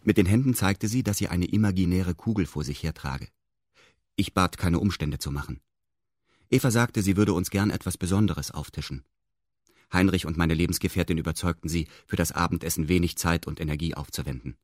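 A man's voice: deep voice, a little fast, darkish tone, no echo, clean studio sound. A male actor playing a detached first-person radio drama narrator with precise, stilted, bureaucratic phrasing. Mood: detached, formal.

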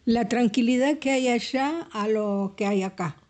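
A woman's voice: on the high side, measured pace, a little mellow. Older woman from Santiago del Estero, terse. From Santiago del Estero, Argentina. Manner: short, plain answers.

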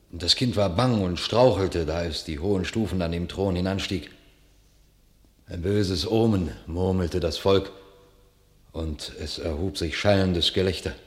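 A grave, loyal knight reports bitter news in a classical radio drama. His voice is low, his delivery stern and declamatory, in formal verse.

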